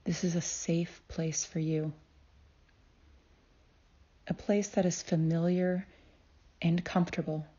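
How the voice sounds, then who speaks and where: A woman leads a guided meditation at a very slow pace, leaving long pauses between phrases. Her voice is soft-edged and mellow, sitting a little low, with a calm and soothing delivery. The recording is slightly dull. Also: husky. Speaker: guided meditation host and healer.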